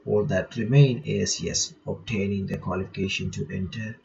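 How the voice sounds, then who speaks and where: A man with a low voice and a dark, mellow tone reads visual novel text aloud for a playthrough. He stumbles now and then, repeats words and drops in casual comments. The recording is dull and lo-fi, with faint noise behind him. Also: little pitch movement, gravelly. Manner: halting, stumbles while reading, repeats words. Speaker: reaction channel host.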